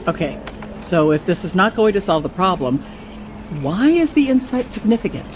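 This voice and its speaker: An actress playing a sceptical, level-headed colleague in a science fiction audio drama, speaking in a measured, dry, challenging way. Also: female voice, mid-range voice, big pitch swings, noisy background, close to an everyday voice.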